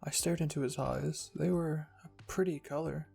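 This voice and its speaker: Soft story reader, kinda raspy n creaky, middle pitch, bit of music behind. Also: steady tone, normal speed. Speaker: narrator and channel host reading fan fiction. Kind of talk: soft scripted story narration, ASMR roleplay reading.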